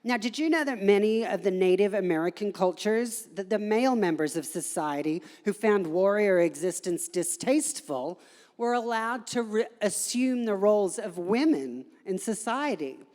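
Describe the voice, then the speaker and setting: A woman's voice: mid-range voice, animated, normal speed, a little mellow. A woman giving a theatrical live reading of a humorous article as a guest performer at an event. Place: indoor gallery event space, before an audience. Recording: echoey hall recording.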